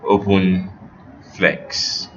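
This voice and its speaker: A man's voice: deep voice, level tone, very slow, a bit bright, lo-fi sound, a little hiss or hum. A man teaching a step-by-step coding lesson, giving students instructions and checking that they follow along. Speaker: web development instructor.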